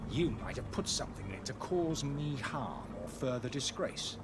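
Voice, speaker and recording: A man playing a pompous, theatrical charlatan in a video game, selling potions with smooth, grandiose showman's patter. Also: mid-range voice, lively intonation, normal speed, music in the background, close to an everyday voice. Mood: haughty, indignant.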